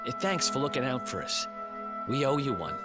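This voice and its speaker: A man's voice: mid-range voice, big pitch swings, moderate pace, lightly acted. An actor playing a young male thief in a video game, breezy and wry in manner, with game music behind him. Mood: laid-back. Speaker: companion character in a video game.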